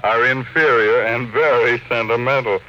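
An actor playing a ruthless, ambitious tycoon, curt and commanding, in an old-time radio drama. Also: male voice, medium pitch, fairly even intonation, moderate pace, natural voice. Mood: brisk, commanding. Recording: noisy, muffled old radio broadcast.

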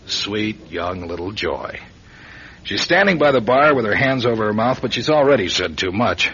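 Low male voice, weary noir narrator, wry and clipped, dull vintage radio sound. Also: lively intonation, moderate pace. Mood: grim, wry. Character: world-weary hard-boiled drifter telling his own misadventure. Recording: dull old radio recording, loud music behind.

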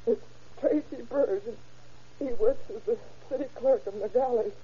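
An actress playing a shaken woman witness in an old-time radio crime drama, speaking in halting, repeated phrases. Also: fairly high pitch, dark tone, close to an everyday voice. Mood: shaken, hesitant. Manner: halting, faltering, repeating herself. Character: shocked, distraught woman witness to a murder. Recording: muffled, noisy old radio recording.

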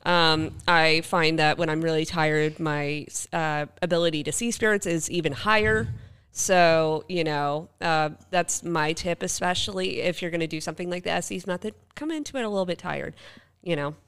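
Female co-host, lowish and clear tone, casual conversational delivery, pokes fun at herself. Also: normal speed, a bit bright. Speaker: podcast co-host. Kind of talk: casual chat.

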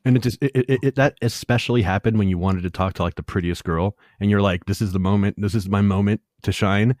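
A man in his late thirties with a lowish voice chats with a guest. He stumbles and repeats words as he goes, friendly and easygoing. The sound is dry and close. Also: fairly even intonation, fast talker, a little mellow, no room sound. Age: 30s. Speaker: youtube channel host, interviewer. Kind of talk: casual interview chat.

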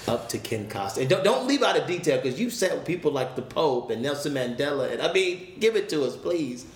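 A man's voice: fairly high pitch, very animated, a little fast. A man hosting an interview podcast, introducing his guest and prompting him eagerly, with plenty of affirming murmurs. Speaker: podcast host. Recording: roomy with faint background noise.

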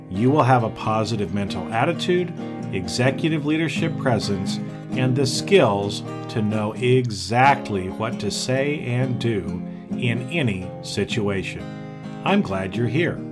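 A man's voice: mid-range voice, animated, takes it slowly, over music. A man hosting a podcast interview, upbeat and chatty, laughing easily and often repeating words. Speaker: podcast host.

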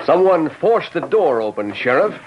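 Self-satisfied banker, animated. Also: male voice, a little high, moderate pace. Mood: brisk, self-satisfied. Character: brisk, self-satisfied small-town banker, a man. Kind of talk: old-time radio western acting. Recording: muffled, hissy old radio recording.

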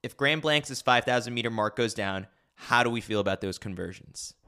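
A man reading a scripted sports newsletter as host, clearly enunciated with a wry delivery. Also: medium pitch, moderate pace, bright, forceful tone. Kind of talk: scripted narration.

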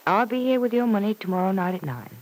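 An actress playing a resolute young woman in an old radio drama. Her voice sits at a middling pitch, serious and clipped, with a tense determination. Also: animated, fast, a little mellow, lightly acted, poor audio. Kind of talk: vintage radio serial acting. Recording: vintage radio drama recording.

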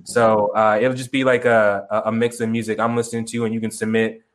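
A young man guesting on a call-in interview, talking casually, rambling and halting, with many restarts and fillers and some swearing. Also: on the low side, level tone. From Chicago, grew up in Tucson. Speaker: musician guest. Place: remote video call.

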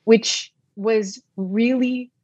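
A woman's voice: fairly high pitch, fairly even intonation, drawn-out words, slightly rough, no echo, lo-fi sound. A young woman whose first language is Arabic, giving candid, reflective answers in an interview, often restarting her sentences. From United States (Brooklyn, New York). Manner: reflective, with frequent restarts and soft laughs. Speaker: guest, journalist.

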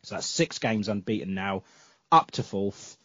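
A man's voice: lowish voice, expressive, measured pace, a bit bright, dry recording, dull audio. A man hosting a casual football podcast, chatty and full of fillers, with a sore throat and cough. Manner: chatty, filler-heavy, self-correcting. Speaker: podcast host.

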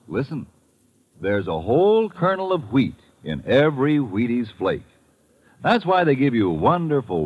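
An American man pitches in a folksy old-time radio ad style, with hugely animated pitch swings and a husky voice. The vintage recording sounds muffled. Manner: chatty, emphatic, direct to listener. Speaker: commercial pitchman. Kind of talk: folksy 1950s radio advertisement.

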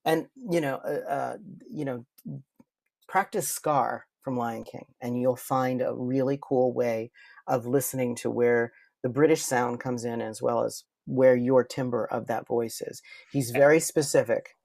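Casting: man, medium pitch, podcast guest talking shop informally, explanatory, dry sound. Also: steady tone, a little slow, gravelly, darkish tone, no room sound. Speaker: guest, voice impressionist and performer. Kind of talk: casual podcast interview chat.

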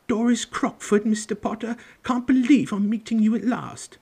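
A man's voice: sits high, moderate pace, creaky, a little mellow, breathy edge, pitched above the performer's own voice, exaggerated voice. A man voicing a flustered, effusive woman admirer in a storybook reading. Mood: proud, thrilled.

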